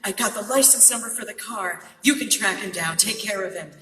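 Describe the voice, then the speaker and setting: An actress playing a scheming femme fatale nightclub singer in a noir radio drama, with a melodramatic, fiery manner. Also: female voice, mid-range voice, fairly quick, sharp tone, close to an everyday voice. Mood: anxious, clinging. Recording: echoey hall, distant mic.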